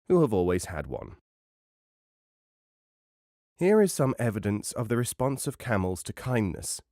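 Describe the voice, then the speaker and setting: A man reading a travel memoir as an audiobook narrator, in a measured, wry and formal literary manner. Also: lowish voice, a little mellow, no echo, clean studio sound.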